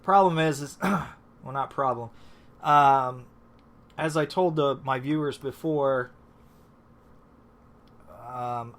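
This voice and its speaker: A man's voice: fairly high pitch, fairly bright tone, a little hiss or hum. A man hosting a casual live stream, rambling and restarting with lots of fillers, just back from being sick. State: recovering from illness. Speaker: host.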